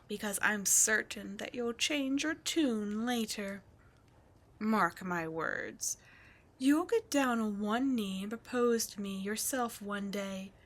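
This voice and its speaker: A woman voicing a seductive, scheming female demon queen in an audio drama, teasing and taunting, dropping into breathy whispers. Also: mid-range voice, fairly bright tone.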